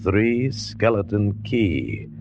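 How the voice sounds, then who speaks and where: A man's voice: lowish voice, lively intonation, a little mellow, a little hiss or hum. A man announcing a 1950s radio show in a portentous, dramatic style. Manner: measured, portentous. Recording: muffled vintage radio recording, music behind.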